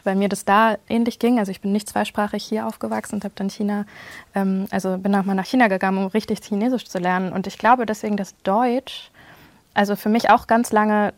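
A woman's voice: medium pitch, dry recording. Casual young German woman, dry sound. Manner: casual, loose, frequent hedging fillers. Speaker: podcast guest, journalist. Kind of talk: conversational interview.

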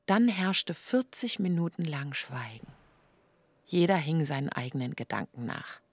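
A woman's voice: sits low, big pitch swings, unhurried, husky, no echo, exaggerated voice. A woman narrating a radio drama as a detached observer, in terse, matter-of-fact, report-like sentences. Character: detached female narrator observing an emergency waiting room. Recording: loud music behind the voice.

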